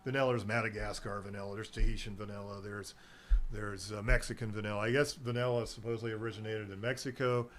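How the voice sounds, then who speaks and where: A man's voice: on the low side, level tone, quick pace, a bit bright, slight background noise. Rambling chatty middle-aged man. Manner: chatty, meandering, laughs easily. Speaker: guest, herbal nutrition seller. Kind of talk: rambling casual chat on a local radio show.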